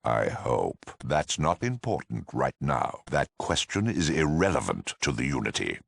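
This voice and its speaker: A man's voice: very deep voice, very animated, no room sound, studio quality, full character voice. A man playing a cold, disdainful mutant officer in a video game, guttural and menacing. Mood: cold menace. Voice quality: gravelly, guttural. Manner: slow, menacing, dismissive.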